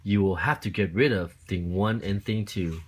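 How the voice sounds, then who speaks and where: A man's voice: sits low, normal speed, pitched below the performer's own voice. A man voicing an anxious little pet fish, fretful, insistent and scolding, in a children's picture-book read-aloud. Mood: panicked.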